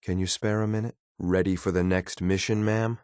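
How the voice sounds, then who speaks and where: A man plays a cold, dutiful male synth agent from a video game in a low-pitched voice. The delivery is clipped, formal and deadpan, measured throughout. The recording is very dry and close. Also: clean tone, no echo, close to an everyday voice. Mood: reserved, polite. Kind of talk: scripted video game companion lines.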